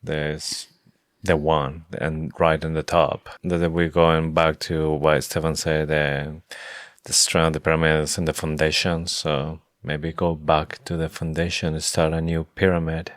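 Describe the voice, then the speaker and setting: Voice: very deep voice, little pitch movement, measured pace, dry recording, studio quality. A podcast co-host in a casual book discussion, with non-native English phrasing and a tentative, searching way of talking.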